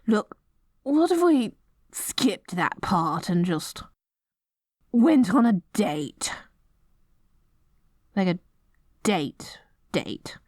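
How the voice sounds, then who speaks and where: A woman's voice: medium pitch, very animated, drawn-out words, long pauses, darkish tone, dry recording, studio quality, full character voice. A woman playing a dorky, lovestruck evil queen in an ASMR roleplay, stammering and flustered between pompous villainous proclamations. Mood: flustered, nervous. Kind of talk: ASMR roleplay audio drama.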